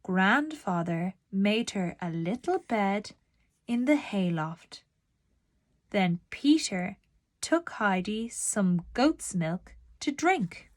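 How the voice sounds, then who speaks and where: Slow-paced woman reading a children's story. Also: mid-range voice, no room sound, clean studio sound. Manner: deliberate, expressive storytelling. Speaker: read-aloud narrator. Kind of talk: children's storybook read-aloud.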